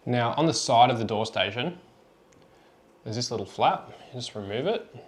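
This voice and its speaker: A man with a mid-pitched voice presents a practical how-to demonstration. He explains things step by step in plain, informal language, as a product tutorial presenter would. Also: animated, moderate pace, slight background noise.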